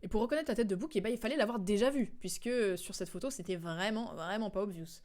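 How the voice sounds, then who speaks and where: A woman with a medium-pitched voice comments on a game for her stream. She is chatty and informal, piecing the story together as she goes and often halting to remember. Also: darkish tone.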